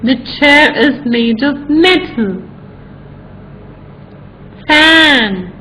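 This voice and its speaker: A woman teaching a children's grammar lesson, speaking slowly and deliberately, spelling out words and repeating each example. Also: high voice, lively intonation, bright, forceful tone, slight background noise, poor audio.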